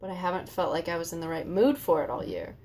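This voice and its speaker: A woman's voice: lowish voice, sing-song, fast, a little mellow, slight background noise. A woman talking casually about books as a co-host of a book channel.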